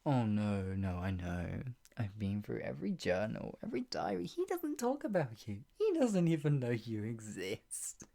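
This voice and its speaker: A woman playing a menacing, obsessive yandere captor in an ASMR roleplay, husky and taunting, swinging between mock pity and threat, often dropping into a breathy whisper. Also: very deep voice, wildly swooping pitch, normal speed, mellow, exaggerated voice. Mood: scornful. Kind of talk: ASMR roleplay, improvised monologue.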